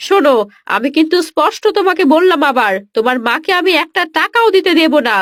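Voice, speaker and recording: A woman playing a stingy young daughter-in-law in a cartoon story, speaking rapidly and insistently. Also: extremely high pitch, animated, fairly bright tone, no room sound, studio quality. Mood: firm, scolding. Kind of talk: cartoon moral story dialogue. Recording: music under the voice.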